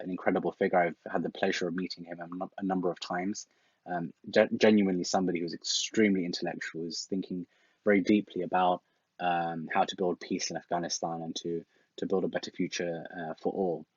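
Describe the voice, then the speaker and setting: A man's voice: low-pitched, breathy edge, no room sound, lo-fi sound. A man hosting a book review series, talking casually from his home library with many fillers and restarts. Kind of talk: casual talk, reviewing books.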